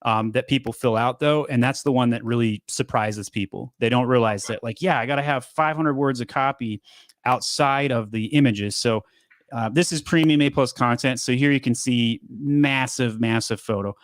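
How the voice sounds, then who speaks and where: A man in his thirties hosting a casual live Q&A from a home studio, upbeat and quick, often repeating words as he talks. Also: mid-range voice, no room sound. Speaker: host. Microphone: studio mic on boom arm.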